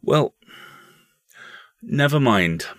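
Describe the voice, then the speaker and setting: A man voicing a bitter, evasive mother who gives measured, resigned answers in a storybook reading. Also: medium pitch, animated, dry recording, clean studio sound, pitched above the performer's own voice.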